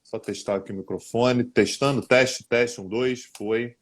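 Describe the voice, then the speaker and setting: A man's voice: medium pitch, steady tone, unhurried. A man co-hosting a live-streamed podcast, joking and teasing in an informal way with casual swearing. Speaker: podcast co-host. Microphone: streaming live on social media.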